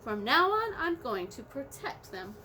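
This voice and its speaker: A woman's voice: high voice, very animated, unhurried, background noise. A woman doing a proud big mother kangaroo. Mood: emphatic.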